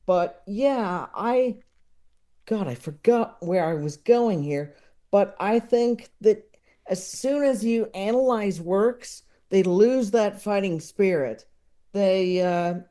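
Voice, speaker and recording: Woman podcast guest just chatting, slow and mellow, kinda halting, rambles, really into her stuff. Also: medium pitch. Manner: halting, digressive, enthusiastic. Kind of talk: casual chat about books and comedy.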